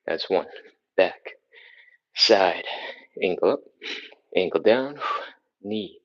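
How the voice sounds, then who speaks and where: A man's voice: low voice, animated, extremely slow. A man leading a live stretching workout, calling short rep cues with sighs and laughs while sniffly from a cold. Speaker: stretching instructor. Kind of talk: live-streamed workout coaching. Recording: slightly dull, lo-fi stream audio.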